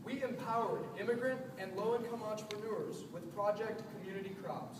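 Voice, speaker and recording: A man's voice: sits high, fairly even intonation, brisk, distant mic, background noise. Young man presenting in a very echoey hall. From Iowa, USA. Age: young adult. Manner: memorized delivery, dry aside. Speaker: student presenter. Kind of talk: rehearsed team presentation. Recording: very echoey hall, recorded from afar.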